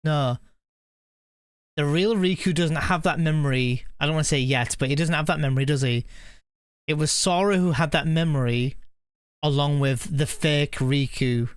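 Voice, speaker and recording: A woman streaming a game in a low, clear, smooth voice. She reacts casually, thinking out loud and repeating herself. The sound is close and dry. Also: moderate pace, no room sound. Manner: musing aloud, repeating herself. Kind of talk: casual live reaction commentary.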